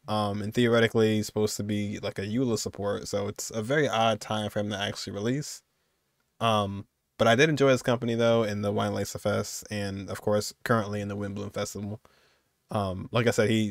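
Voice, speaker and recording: A man's voice: lowish voice, no echo, studio quality. A man, giving informal, amused reaction commentary as a streamer. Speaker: streamer reacting to game videos. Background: faint music.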